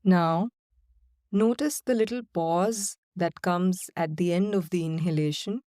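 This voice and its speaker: A woman guiding a body-scan and breathing meditation in slow, calm, soothing instructions with long pauses. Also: fairly low pitch, no echo, clean studio sound. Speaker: meditation guide. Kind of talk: guided meditation.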